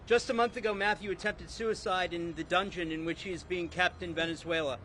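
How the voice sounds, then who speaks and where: Brief: high-pitched male emcee, brisk and informal, faint street noise. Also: steady tone, pushed and bright. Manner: brisk, filler-laden emcee delivery. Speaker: event organizer and emcee. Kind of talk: introducing speakers at a press event. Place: outdoors on a city street.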